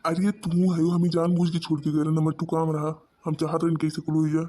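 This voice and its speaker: A man plays a stubborn cartoon village husband with a fairly high but mellow voice. He grumbles his explanations in an Awadhi accent with little rise and fall, sly and put-upon. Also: monotone, a little fast, lightly acted. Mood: irritated. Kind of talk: village comedy cartoon dialogue.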